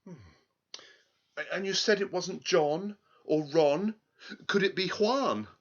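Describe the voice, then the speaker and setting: A man's voice: high-pitched, takes it slowly, dull audio, voice pushed up, exaggerated voice. A man voicing an anxious young queen in a storybook, hesitant and questioning. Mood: coy, hesitant. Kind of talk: storybook character voice.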